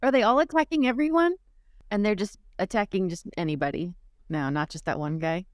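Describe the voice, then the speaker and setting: A woman's voice: mid-range voice, a little fast, no echo. Woman player in dry, close sound. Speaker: player in a tabletop roleplaying game. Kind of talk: casual game chat.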